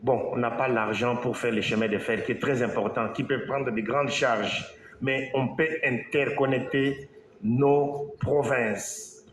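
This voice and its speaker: A man from Congo, an engineer more used to English, giving an earnest talk with pauses and restarts that rise when he stresses a point. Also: mid-range voice, fairly even intonation, reverberant, slight background noise, rough recording. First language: English is his main working language. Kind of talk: slow, halting public talk.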